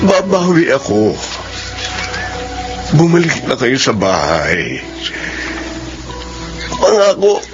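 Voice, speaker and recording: An actor with a Filipino accent playing a remorseful gambling husband and father in a radio drama, emotional and pleading. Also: mid-range voice. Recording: dull lo-fi audio, loud music behind.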